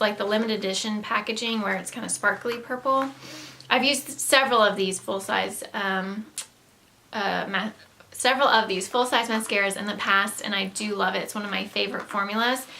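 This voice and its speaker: A woman's voice: medium pitch, pushed and bright, slight background noise. A woman with a Southern US accent, chatting casually to camera as a beauty vlogger going through products. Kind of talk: casual chat to camera, product haul review.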